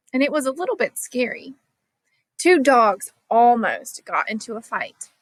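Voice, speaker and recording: A woman with a fairly high voice reads a children's storybook aloud. Her narration is scripted, expressive and clearly phrased. The recording is fairly dry, with little room sound. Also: no room sound, rough recording. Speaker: storybook reader.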